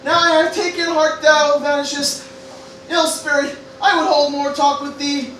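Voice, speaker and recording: A man's voice: very high voice, level tone, moderate pace, pushed and bright. A man playing an earnest, noble teenage grandson in an amateur Shakespeare parody radio play. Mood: startled, earnest. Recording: roomy, slightly distant, faintly noisy.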